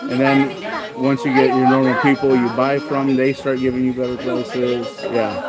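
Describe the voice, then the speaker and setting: A man in his thirties chatting casually as a vlogger, unhurried and hesitant with lots of ums and uhs. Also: mid-range voice, little pitch movement, loud music behind the voice. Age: 30s. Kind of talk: casual chat about living costs abroad. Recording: loud crowd chatter in a large hall.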